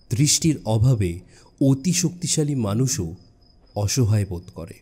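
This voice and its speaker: A man narrating a suspense story in a measured, dramatic way with deliberate pauses. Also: medium pitch, dark tone, breathy edge. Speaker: audiobook narrator. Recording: music bed behind the voice. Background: background music.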